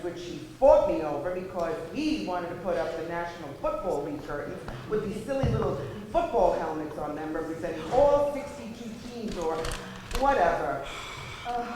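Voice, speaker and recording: A woman playing a flustered, exasperated wife in a stage comedy, halting and rambling as she talks to her mother. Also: lowish voice. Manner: halting, rambling, scandalized delivery. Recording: noisy, echoey live theater recording.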